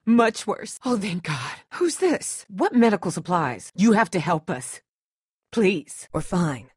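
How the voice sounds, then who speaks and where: A woman's voice: medium pitch, big pitch swings, takes it slowly, husky, no room sound, studio quality, full character voice. A woman acting a tough, distrustful and protective survivor in a dramatic video game, blunt, sardonic and guarded. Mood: urgent, worried.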